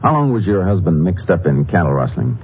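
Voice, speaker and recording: Deep-voiced, terse Texas Ranger. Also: male voice, big pitch swings, fairly quick, slight background noise. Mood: firm, official. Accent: American. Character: stern, determined male Texas Ranger leading a murder hunt. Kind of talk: 1950s radio drama acting and first-person narration. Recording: muffled old radio recording with music.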